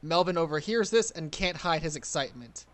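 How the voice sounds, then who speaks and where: A man's voice: a little high, lively intonation, a little fast, a bit bright. A man reading stage directions as narrator in a scripted style.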